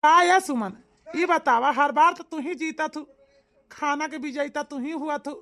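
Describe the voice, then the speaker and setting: A woman plays a high-voiced village in-law from a family comedy cartoon. The speech has an Awadhi accent and a folksy, emphatic manner, circling back over its points. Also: measured pace, fairly bright tone. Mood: persuasive.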